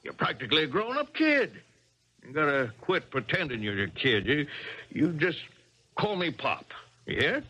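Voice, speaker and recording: Domineering, blunt tennis dad: husky, hard-edged, wildly swinging pitch, old radio drama. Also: male voice, very animated, normal speed, sharp tone, exaggerated voice. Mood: scolding, impatient. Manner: barking, bossy, blunt working-class speech. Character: domineering, uneducated, pushy tennis father and coach. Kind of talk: 1970s radio drama acting. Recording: dull archival 1970s radio broadcast.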